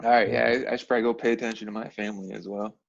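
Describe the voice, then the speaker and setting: A man's voice: lowish voice, steady tone, rapid-fire, creaky. A man in a casual chat, telling anecdotes in slangy, profane language and laughing easily as he goes. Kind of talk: casual chat, animated storytelling. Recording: dull lo-fi call audio.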